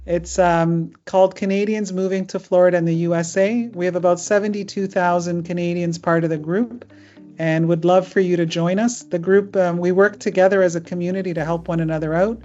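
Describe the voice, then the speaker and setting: Middle-aged woman host, low voice, chatty and informal, muffled video-call sound. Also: fairly even intonation, a little fast, darkish tone. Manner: chatty, with frequent fillers and laughs. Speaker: immigration lawyer hosting a webinar. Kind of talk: casual webinar talk.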